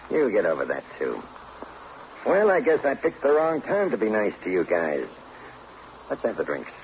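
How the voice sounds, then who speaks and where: An actor playing a smug, menacing gambler in an old-time radio crime drama, with mocking, slangy tough talk. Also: male voice, on the low side, huge pitch swings, measured pace, pauses often, heavily acted. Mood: smug, pushy. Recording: noisy muffled old radio drama recording.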